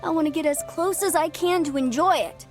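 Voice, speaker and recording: A woman's voice: very high-pitched, a little fast, over music. A woman voicing an eager young woman at a festival in game dialogue, a little whiny.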